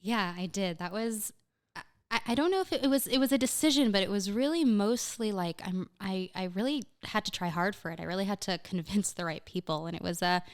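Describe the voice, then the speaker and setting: A woman's voice: mid-range voice, brisk, clear, resonant tone. A young woman chatting as a podcast guest, upbeat and talkative, laughing often and restarting her sentences. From United States. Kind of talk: casual conversational interview.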